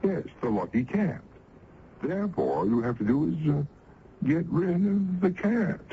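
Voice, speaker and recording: An actor playing a sly, mocking supernatural stranger who teases humans in a theatrical old radio drama style. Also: male voice, fairly high pitch, big pitch swings, a little slow. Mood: teasing. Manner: teasing, chuckling, theatrical. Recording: dull vintage radio recording, faint noise.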